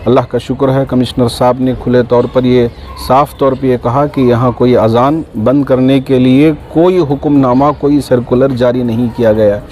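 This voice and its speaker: A man speaking calmly to reporters as a community spokesman, reassuring and unhurried, with small fillers. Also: medium pitch, slight background noise. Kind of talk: press statement.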